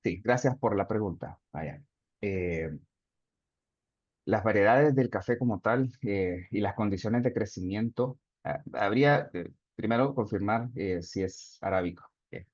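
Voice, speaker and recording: A man's voice: on the low side, measured pace, no room sound, highs cut off. A man teaching an online class, explaining step by step and reading chat answers aloud. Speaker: instructor. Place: video call.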